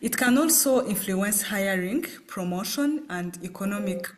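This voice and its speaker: A woman from Rwanda presents to a class at an unhurried pace. She stops often on filler sounds as she works through her points. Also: medium pitch, lively intonation. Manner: slow with frequent "uh" fillers. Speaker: student presenter.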